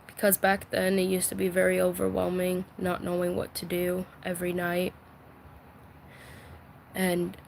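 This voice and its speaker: A woman who voice acts, talking from her bedroom in an emotional live-stream vent, halting and full of fillers, soft and confiding as she holds back tears. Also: mid-range voice, vocal fry, a little hiss or hum. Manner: slow, halting, many fillers and restarts.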